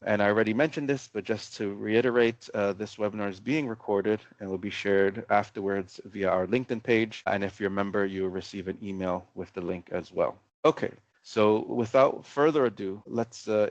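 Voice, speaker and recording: A man moderating a webinar, running through housekeeping and introducing the panel in a friendly, brisk way. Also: on the low side, no echo. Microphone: video call. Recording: dry, dull call audio.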